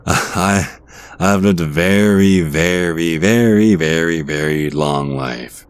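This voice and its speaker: A man's voice: deep voice, extremely slow, clean tone. A man playing a charming, playful, ageless devil posing as a stranger, in an intimate ASMR roleplay style. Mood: sympathetic.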